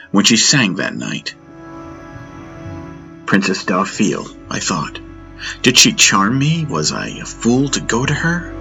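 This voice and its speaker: A man's voice: deep voice, bright, forceful tone. A man reading scripted first-person narration as the narrator of a fantasy audiobook. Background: music.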